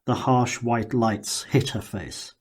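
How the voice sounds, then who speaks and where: A man reads dramatic fiction as an audiobook narrator. His voice sits at a middling pitch with a slight husk, and his delivery is measured and deliberate. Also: steady tone, slightly rough.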